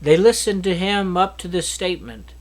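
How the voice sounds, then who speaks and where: A man reading scripture aloud from a script, with expressive delivery of the narrative. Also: sits high, moderate pace. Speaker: Bible reader.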